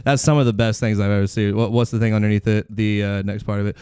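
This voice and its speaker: A man's voice: on the low side, flat delivery, quick pace, warm tone, clean tone, no room sound, clean studio sound. A man hosting a casual chat, riffing in slangy language and laughing often as he talks. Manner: laughs often while talking; slangy, says dude and like a lot. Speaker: podcast host.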